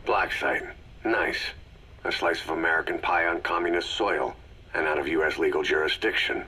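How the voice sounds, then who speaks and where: A man playing a gruff, stoic, terse mercenary commander in a video game audio drama, with a gravelly, husky voice. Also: very deep voice, fairly even intonation, normal speed, bright, forceful tone, full character voice. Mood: grim, terse.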